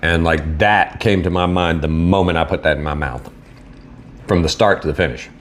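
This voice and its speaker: Southern guy, real deep voice, rambly easygoing food review, bit of hiss. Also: moderate pace, a bit bright. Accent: Southern American. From American South. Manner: laid-back, rambling, self-deprecating. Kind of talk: casual solo video review.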